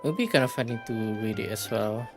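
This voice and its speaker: A game livestreamer chatting casually, rambling and halting while thinking aloud. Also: mid-range voice, expressive, music in the background. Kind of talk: casual gaming stream chat.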